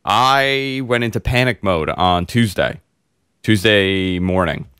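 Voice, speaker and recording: A man hosting a casual tech podcast, hoarse and congested, telling long rambling stories full of fillers. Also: on the low side, slow pace, no room sound, clean studio sound. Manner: rambling, animated storyteller with many fillers. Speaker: host.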